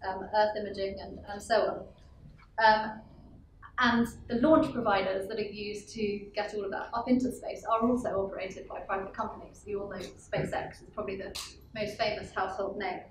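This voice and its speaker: A woman in her thirties giving an explanatory talk on a panel, speaking clearly with frequent ums. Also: medium pitch, flat delivery, normal speed. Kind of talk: expert presentation. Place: conference room with large windows. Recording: echoey room, distant mic, faint hiss.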